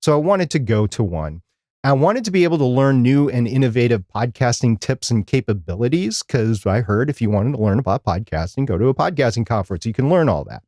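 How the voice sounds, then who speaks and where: A man with a mid-pitched voice talks casually as a podcast co-host. He is emphatic, often circling back over a phrase to stress it, and the sound is close and dry. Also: big pitch swings, fairly quick, darkish tone, no echo. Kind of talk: casual live-stream podcast talk.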